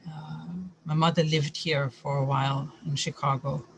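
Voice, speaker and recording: Man from Chile raised in Germany, even-toned. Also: a little high, normal speed, creaky, noisy background. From born in Chile, raised in Germany. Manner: thoughtful, with many fillers and restarts. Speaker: interview guest. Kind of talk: casual interview conversation.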